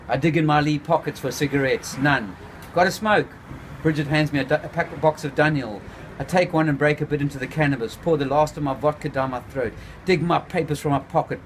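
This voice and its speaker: A man reading his own first-person novel aloud, laid-back and unhurried, with small slips. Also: mid-range voice, a little hiss or hum.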